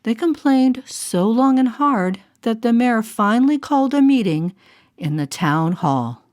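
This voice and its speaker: A woman storyteller narrating a storybook with expressive, deliberate phrasing. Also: fairly high pitch. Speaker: storyteller and voice artist. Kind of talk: scripted storybook narration for all ages. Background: music.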